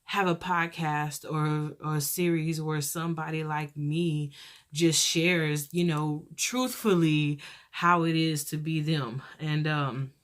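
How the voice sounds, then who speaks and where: A young woman hosting her own podcast with a soft voice, talking casually and earnestly, rambling and pausing as she goes. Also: deep voice, steady tone. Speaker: podcast host.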